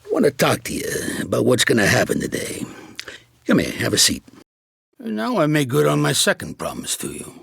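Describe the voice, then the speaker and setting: An actor playing a gruff, streetwise older mobster in a video game, with an American accent, a raspy voice and a blunt, slangy, commanding way of talking. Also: male voice, mid-range voice, huge pitch swings, normal speed, a bit bright, no echo, clean studio sound, heavily acted. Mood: warm, confiding. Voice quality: raspy, gravelly. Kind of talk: video game character acting.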